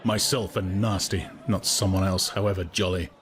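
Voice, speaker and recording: A man's voice: low-pitched, steady tone, normal speed, fairly bright tone, slight background noise. A man reading as a brooding discontented young man. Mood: earnest. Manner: hesitant, searching, derisive at times.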